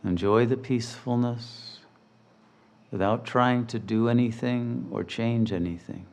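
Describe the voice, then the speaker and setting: A man leading a guided meditation as a teacher, speaking in measured, deliberate, soothing phrases with long pauses. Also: medium pitch, fairly even intonation, a little mellow.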